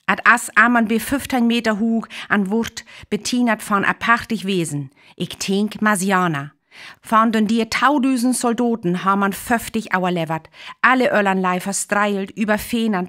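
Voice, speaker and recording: An actress playing a sober news announcer delivering grave bulletins in a radio drama. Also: female voice, mid-range voice, a bit bright, close to an everyday voice. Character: woman news announcer soberly reporting a catastrophic invasion.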